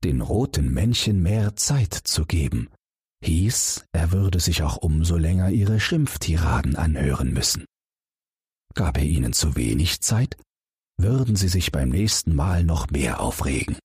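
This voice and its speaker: A man narrating a bedtime fairy tale in a deep, breathy voice, unhurried and full of melodic rises and falls. He speaks directly to his listeners in a dry, close studio sound. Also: no echo. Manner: calm, expressive storytelling, addressing listeners directly. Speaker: audiobook narrator.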